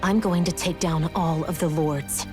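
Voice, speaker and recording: A young fantasy game heroine, guarded and determined. Her voice sits a little low and she lays things out coolly and matter-of-factly. Music is clearly heard behind her. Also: steady tone, unhurried. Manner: cool, matter-of-fact explaining. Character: guarded, determined young woman of the ruling race. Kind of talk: acted video game cutscene dialogue.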